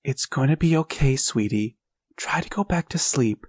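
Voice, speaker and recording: A man voicing a tired young mother who soothes her frightened son softly. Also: medium pitch, lively intonation, normal speed, no echo, clean studio sound, pitched above the performer's own voice, natural voice. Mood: soothing. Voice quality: gentle, hushing, soft. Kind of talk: storybook reading.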